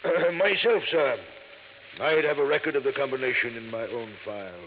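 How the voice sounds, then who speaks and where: A man's voice: medium pitch, natural voice. Man acting a pompous, courtly vault maker, tinny sound. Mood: formal, careful. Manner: florid, formal, deliberate. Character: courtly, pompous male vault company owner. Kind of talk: 1950s radio drama acting. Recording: tinny, noisy old radio recording.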